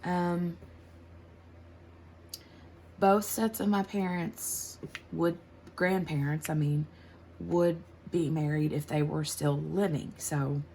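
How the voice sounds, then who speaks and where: A woman's voice: low voice, steady tone, takes it slowly, vocal fry, long pauses, a little mellow, a little hiss or hum. An American woman in her forties, chatting casually and rambling a little while she works on a craft project and answers tag questions. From United States. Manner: rambling, chatty, laughs easily. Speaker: craft channel host.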